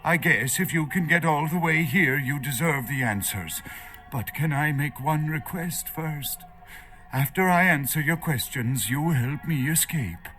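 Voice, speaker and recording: A man's voice: fairly high pitch, measured pace, sharp tone, soft background music. An actor playing a nervous, erudite archaeologist in a video game, lecturing hesitantly as he searches for words. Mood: pleading, relieved. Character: nervous, erudite male foreign archaeologist held captive.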